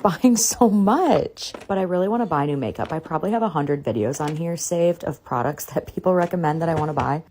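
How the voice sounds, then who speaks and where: A woman's voice: on the low side, dark tone, slight background noise. A woman of about thirty doing a casual, confessional voice-over, conversational and candid with a self-deprecating streak. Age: 30s. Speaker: beauty and decluttering vlogger.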